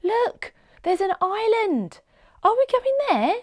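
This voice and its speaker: A woman voicing a cheeky, excitable young girl in a storybook, curious and wide-eyed. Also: very high voice, slow, no room sound, clean studio sound, pitched above the performer's own voice, heavily acted. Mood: amazed. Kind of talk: storybook read-aloud character voice.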